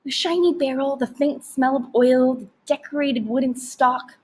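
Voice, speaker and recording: A woman narrating a story aloud in an expressive style with deliberate, emphatic phrasing. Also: high voice, breathy edge.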